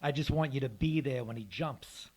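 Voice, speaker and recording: An actor playing a polite, menacing, unhinged escaped convict in a radio detective drama, speaking with calm precision. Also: male voice, mid-range voice, moderate pace, husky, close to an everyday voice. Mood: smug, cold.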